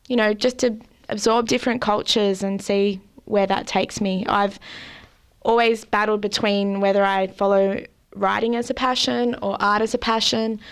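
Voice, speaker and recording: A young woman with an Australian accent, talking casually as an interview guest, chatty and quick to laugh. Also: medium pitch, clear, resonant tone. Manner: chatty, laughs often, many fillers.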